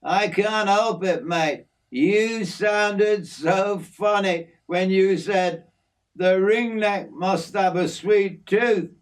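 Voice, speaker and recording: A man's voice: high-pitched, voice pushed up. A man voicing a hearty, teasing bushman in a told story, blunt and matey. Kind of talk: acted character in a narrated memoir.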